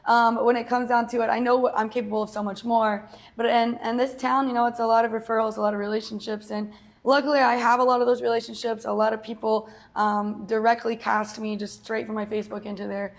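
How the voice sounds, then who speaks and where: A young woman with a raspy, slightly hoarse voice talks in a relaxed, chatty way, full of fillers and easy laughs. Her voice sits a bit high for a woman. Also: fast. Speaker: guest, actress and singer. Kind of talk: casual interview chat.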